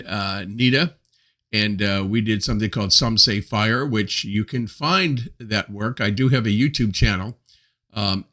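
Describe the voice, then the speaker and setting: An older man in his seventies speaks in a fairly low voice as he hosts his own reflective monologue. He talks slowly and thoughtfully, pausing often to find his words. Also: sharp tone. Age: 70s. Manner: unhurried, hesitant with frequent pauses and fillers. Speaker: host.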